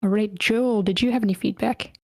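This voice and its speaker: A woman's voice: medium pitch, steady tone, a little fast, darkish tone, no echo. A woman hosting an online table read, giving informal, self-deprecating feedback and swearing casually. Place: online group meeting.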